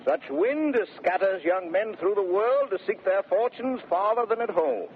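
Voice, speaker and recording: An actor playing a blustering, boastful young gentleman adventurer, declaiming in a 1930s radio Shakespeare play on a very tinny, noisy recording. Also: high voice, expressive, normal speed. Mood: brisk, jaunty. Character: swaggering, impudent young gentleman adventurer and fortune-seeker. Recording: very tinny vintage radio recording, faint noise.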